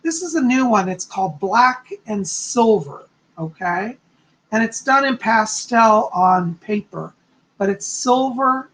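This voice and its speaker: A man with a hoarse, slightly raspy voice, chatting casually from home as a psychic hosting his live stream and greeting viewers effusively. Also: high voice, lively intonation, takes it slowly, fairly bright tone, rough recording. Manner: chatty, effusive, frequent "okay?" and "you know".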